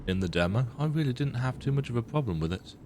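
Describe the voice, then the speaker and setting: A man with a croaky voice giving casual, rambling, self-deprecating commentary while he plays a video game. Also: mid-range voice, expressive, fast talker, noisy background. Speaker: let's play gamer.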